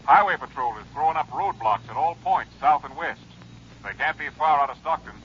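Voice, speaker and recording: Businesslike police captain, slightly high voice, telephone filter, old radio drama, faint noise. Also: male voice, expressive, fairly quick, fairly bright tone. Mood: brisk, businesslike. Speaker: supporting radio actor. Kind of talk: 1950s radio drama acting. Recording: noisy, dull old radio phone-call recording.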